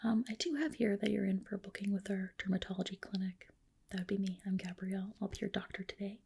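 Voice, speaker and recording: A woman performs an ASMR roleplay in a very breathy whisper with a crackle of vocal fry. She plays a clinic doctor, speaking softly and politely. Her manner is gentle and reassuring, like personal attention given up close. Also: medium pitch, fairly even intonation, fast. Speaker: ASMR host roleplaying a dermatologist.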